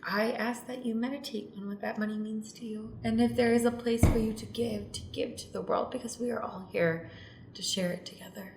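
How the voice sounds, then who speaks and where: A woman playing an earnest female monk on a spiritual journey in an improvised radio play, speaking in halting, grave speeches. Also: on the high side, steady tone, gravelly, darkish tone. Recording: roomy echo with faint noise.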